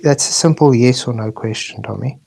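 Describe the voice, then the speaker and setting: A man's voice: mid-range voice, fairly quick, creaky, a little mellow. A man from South Africa hosting a heated online debate and pressing for yes or no answers. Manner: insistent, pressing questions.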